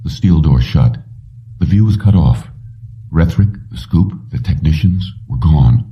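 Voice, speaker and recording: A man with a very low voice narrates an audiobook in measured, dramatic phrases. The recording is slightly muffled and sounds a little away from the mic. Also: expressive, recorded from a distance. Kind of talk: scripted narration of a suspense story.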